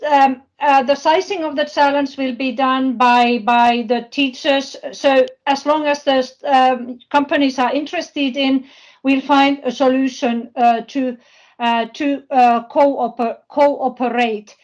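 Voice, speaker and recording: A woman giving an unscripted presentation at an online business forum, speaking haltingly and often searching for words. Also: high-pitched, fairly even intonation. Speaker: presenter, university project representative. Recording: dull, lo-fi online call audio.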